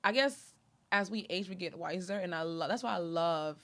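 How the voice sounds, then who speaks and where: A woman's voice: on the low side, normal speed, a bit bright. A woman from Honduras, hosting a casual chat, talking animatedly and full of fillers. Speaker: podcast host.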